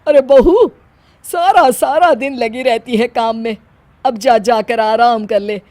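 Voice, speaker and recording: Woman acting a high-voiced cartoon mother-in-law. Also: slow pace, darkish tone, dry recording. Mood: sweet, doting. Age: older. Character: bossy, scolding older mother-in-law, sometimes sweetly doting. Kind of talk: Hindi moral-story cartoon voice acting.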